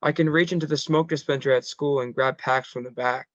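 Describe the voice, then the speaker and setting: A man's voice: medium pitch, steady tone, brisk, a bit bright, no echo, dull audio, natural voice. A man playing a good-natured teenage boy in a screenplay table read. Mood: casual, amused. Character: Gerald, good-natured skinny teenage boy, slow learner.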